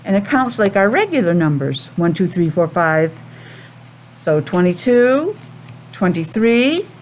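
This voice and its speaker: An American woman teaching young children a simple lesson in a sing-song, questioning way with pauses. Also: lowish voice, very animated, a little hiss or hum. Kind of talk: children's calendar and weather lesson.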